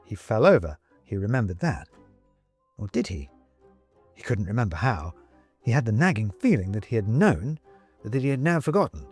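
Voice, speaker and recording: A man narrating a scripted story as an audiobook reader, with wry, deliberate, emphatic phrasing. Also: fairly low pitch, very animated, a little mellow, slightly rough, faint music behind.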